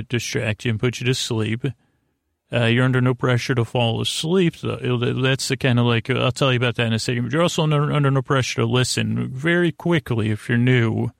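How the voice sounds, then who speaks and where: A man with a creaky voice hosting a podcast in a soothing, long-winded bedtime monologue that meanders and interrupts itself. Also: medium pitch, dry recording, studio quality. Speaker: podcast host.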